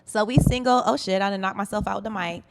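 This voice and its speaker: A woman's voice: mid-range voice, quick pace. A woman hosting a radio interview in casual, flirty banter, playful and brash, with plenty of slang and swearing. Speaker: radio show host interviewing an artist.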